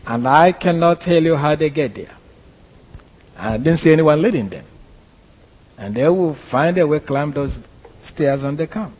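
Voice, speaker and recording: A man's voice: on the high side, animated, measured pace, pauses often, a little mellow, husky, long reverb tail. A man from Nigeria with a Nigerian accent, a pastor telling a story in a sermon, hesitant, with many fillers and restarts. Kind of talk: sermon testimony.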